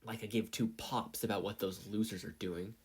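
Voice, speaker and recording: Man playing a surly, husky, hot-headed teenage boy. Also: fairly low pitch, steady tone, normal speed, darkish tone. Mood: threatening.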